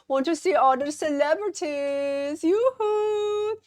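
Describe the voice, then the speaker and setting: Woman putting on a shrill mock-German countess. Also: slow pace, a little mellow, no room sound, clean studio sound. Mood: campy. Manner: sing-song, shrill calls. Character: campy German-accented countess, adult woman, theatrical and demanding.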